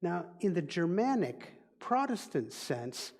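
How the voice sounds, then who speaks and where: A man with a Dutch accent, a native Dutch speaker, giving a reflective sermon as a minister in a deliberate, teaching manner. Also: high voice, very animated, a little mellow. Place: church sanctuary. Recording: long reverb of a large hall.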